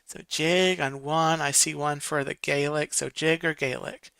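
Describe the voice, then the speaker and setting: A man's voice: on the high side, steady tone, measured pace, vocal fry, sharp tone, clean tone, dry recording, clean studio sound. A man hosting a casual live stream, reading viewer comments and counting votes aloud while muttering to himself.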